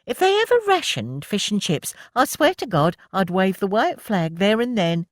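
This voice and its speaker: A reader voicing Milly, a cheeky young woman who teases her friends loudly. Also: mid-range voice, very animated, moderate pace, dry recording, studio quality, voice pushed up, lightly acted. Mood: groaning. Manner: loud, playful, teasing.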